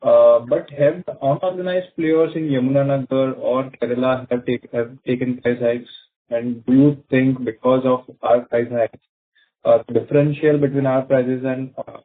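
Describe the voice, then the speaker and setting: A man's voice: medium pitch, flat delivery, normal speed, narrow, tinny audio. A man with an Indian accent, politely and deferentially asking formal questions as an analyst on an earnings call.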